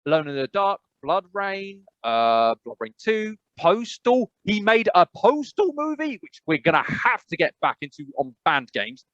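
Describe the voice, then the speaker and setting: A man's voice: sits high, measured pace, few pauses, dry recording. Chatty English man on a dull mic. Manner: rambling, animated, emphatic repetition. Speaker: podcast co-host. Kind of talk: casual chat.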